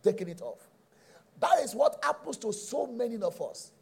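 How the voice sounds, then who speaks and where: A middle-aged Nigerian man with a raspy voice, teaching a sermon emphatically, repeating key phrases and checking in with his listeners. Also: extremely high pitch, very animated, moderate pace, a little mellow. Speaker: preacher.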